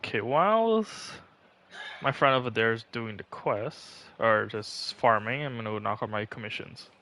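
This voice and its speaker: Bright-voiced man streaming a game. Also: mid-range voice, lively intonation, unhurried. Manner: rambling, thinking aloud. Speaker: game livestreamer. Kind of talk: casual livestream gaming commentary. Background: faint game music.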